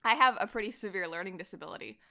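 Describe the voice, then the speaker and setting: A woman's voice: medium pitch, animated, very fast, pushed and bright. A young adult woman telling personal stories in a casual chat as a podcast guest, acting out bits of what people said. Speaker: podcast guest, voice actor and director.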